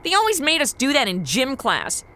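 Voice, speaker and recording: High, bright, snarky young woman, Madison. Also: wildly swooping pitch, moderate pace, exaggerated voice. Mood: sarcastic. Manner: snarky, flippant, exclamatory. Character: sarcastic, excitable modern young woman amateur sleuth, Madison. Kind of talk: comedy audio drama acting.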